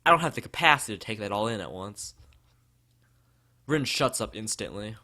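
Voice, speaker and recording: A man's voice: lowish voice, lively intonation, normal speed, sharp tone. A man from the United States, a let's play streamer, reading a visual novel aloud.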